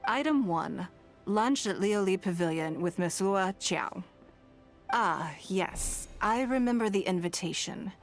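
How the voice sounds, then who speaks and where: A woman's voice: mid-range voice, expressive, a little slow, soft background music. Poised, gracious noblewoman. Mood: composed, gracious. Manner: composed, formal, courteous. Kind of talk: scripted video game dialogue.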